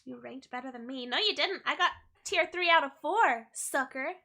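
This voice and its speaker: A young woman streaming a game and chatting with viewers, playful and teasing, her voice a little hoarse. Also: high voice, expressive, normal speed, sharp tone. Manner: playful, giggly, teasing chat. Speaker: VTuber streamer. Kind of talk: casual game stream chat, reading chat.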